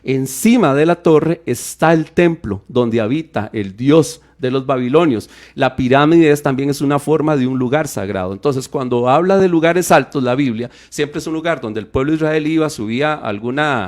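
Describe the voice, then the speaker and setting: A man with a Costa Rican Spanish accent, explaining Bible passages on a radio program with many restarts and quick asides. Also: on the high side. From Costa Rica. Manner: lively, digressive, with many restarts and fillers. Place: radio studio.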